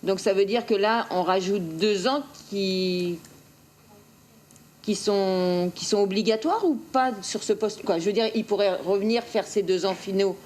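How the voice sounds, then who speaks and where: A woman's voice: mid-range voice, unhurried, a little mellow. Female councillor, mid-range voice, presses her questions insistently in unscripted meeting talk. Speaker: council member asking questions.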